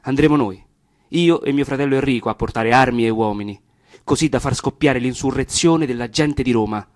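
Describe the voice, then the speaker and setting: A man's voice: mid-range voice, fairly quick, no echo, natural voice. Guy acting as fiery patriot from the risorgimento, declaiming like an orator, radio play, dry close sound. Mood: urgent, rousing. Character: fervent Italian Risorgimento patriot rallying comrades to revolt. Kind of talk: theatrical speech in a radio drama.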